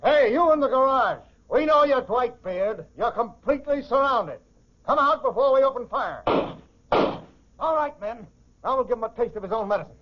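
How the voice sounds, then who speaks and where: An actor playing a commanding, urgent police captain barking orders in a 1940s radio crime drama. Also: male voice, very high-pitched, expressive, normal speed. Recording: muffled vintage radio recording.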